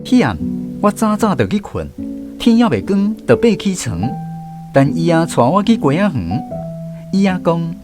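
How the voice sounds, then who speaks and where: A man narrates a radio drama in a gentle, reminiscing tone. His slightly high voice moves expressively. Clear instrumental music plays underneath. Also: big pitch swings. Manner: gentle, nostalgic, unhurried. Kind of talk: scripted storytelling narration, reminiscing. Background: clear background music.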